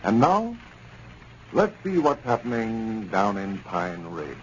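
A man's voice: lowish voice, very animated, slow pace. An American man giving a scripted announcement and sponsor narration as a 1930s radio announcer. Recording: muffled, hissy 1930s radio recording.

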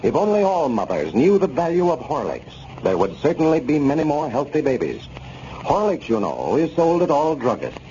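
Male American announcer, lively and mellow, vintage sponsor read with dulled old radio sound. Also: on the high side, moderate pace. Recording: muffled, noisy 1930s radio recording.

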